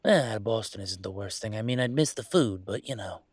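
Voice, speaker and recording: A man playing an upset young werewolf venting about his father in an intimate audio roleplay, speaking in a halting, emotional, rambling way. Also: fairly low pitch, animated, husky, no echo. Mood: wry, rambling.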